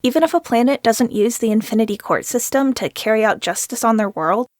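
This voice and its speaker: A woman's voice: on the high side, brisk, darkish tone, no echo, clean studio sound, lightly acted. A woman playing an earnest, rule-minded alien student in a sci-fi audio drama, speaking precisely, formally and literally. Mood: earnest, matter-of-fact.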